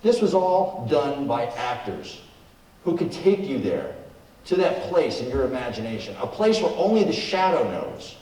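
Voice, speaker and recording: A man's voice: medium pitch, big pitch swings, measured pace, warm tone. A man hosting a live show with a scripted, theatrical introduction. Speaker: live show host and performer. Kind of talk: scripted stage introduction and comic patter. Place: live in a studio before an audience. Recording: roomy, distant microphone, noisy stage recording.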